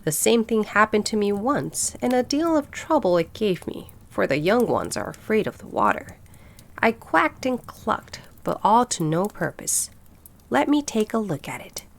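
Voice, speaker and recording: A woman's voice: mid-range voice, sing-song, measured pace, creaky. A woman voicing a nosy, know-it-all old female duck in a storybook, opinionated and emphatic. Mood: knowing, insistent. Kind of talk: storybook character voice.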